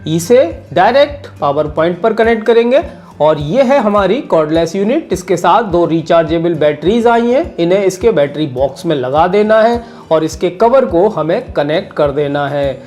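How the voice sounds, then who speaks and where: A man's voice: high-pitched, lively intonation, normal speed, a little mellow. A man narrating a step-by-step product review in an explanatory way, repeating his points. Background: background music.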